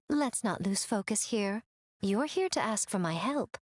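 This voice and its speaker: A sly, teasing shrine priestess. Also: female voice, mid-range voice, expressive, takes it slowly. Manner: playful, teasing, silky and knowing. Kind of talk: game cutscene voice acting. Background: faint game music.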